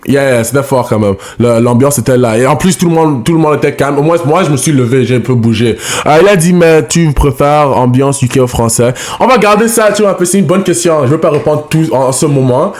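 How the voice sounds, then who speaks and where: A man whose first language is English, reacting in casual French, halting and repeating words, with English fillers. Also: medium pitch, darkish tone, echoey room. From Canada. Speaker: reaction streamer.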